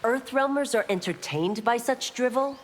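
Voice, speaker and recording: A woman's voice: fairly high pitch, normal speed, natural voice. A woman playing a stern, proud female constable in a fantasy video game, formal and authoritative. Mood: disdainful. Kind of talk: video game character dialogue. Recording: long hall reverb, faint noise.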